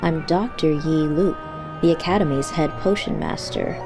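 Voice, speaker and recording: Woman playing an elegant, lowish-voiced half-elf doctor. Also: moderate pace, over music. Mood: composed. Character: elegant, composed half-elf female potion master doctor. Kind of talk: tabletop fantasy audio drama acting.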